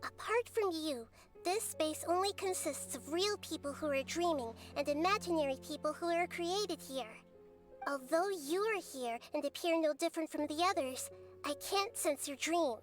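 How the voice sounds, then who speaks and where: A woman voicing a small, calm girl goddess of wisdom in a game cutscene, speaking in a composed, gently analytical way. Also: high-pitched, moderate pace, soft background music, natural voice. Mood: calm probing.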